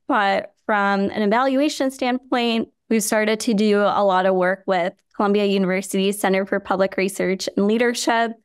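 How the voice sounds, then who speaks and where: A woman from Chicago, raised speaking Hindi and Gujarati, talks as a nonprofit founder in an interview. Her voice is smooth and clear, and the sound is close and dry with no room around it. She speaks earnestly and steadily, leaning on "you know" as she goes. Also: medium pitch, no echo. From Chicago, USA. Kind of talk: podcast interview answer.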